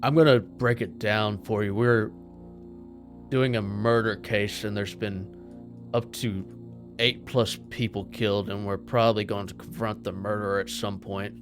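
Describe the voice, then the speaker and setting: A man's voice: lowish voice, steady tone, unhurried, soft background music. A man playing a tabletop roleplaying game, talking in a blunt, informal way. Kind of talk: casual tabletop roleplay chat.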